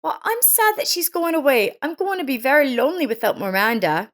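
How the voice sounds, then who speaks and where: A kind young neighbor woman played by a female narrator speaks in a high, raised voice. She is earnest and emotional, like a character in an audio life story. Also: very animated, brisk, a bit bright. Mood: grateful, wistful. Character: kind, bitter young neighbor woman defending an old mother.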